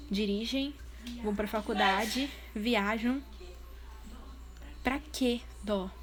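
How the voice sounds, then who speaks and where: A woman with a Brazilian Portuguese accent, talking straight to her viewers in a casual vlog and pressing her points with repeated questions. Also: fairly high pitch. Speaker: vlogger voicing her deaf partner's signing.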